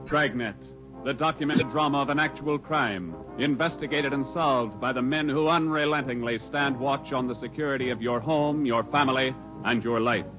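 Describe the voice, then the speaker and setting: A male American radio announcer with a medium-pitched voice reads solemnly and formally. The broadcast is old and muffled, with music playing behind him. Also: moderate pace. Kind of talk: formal scripted mid-century radio announcing.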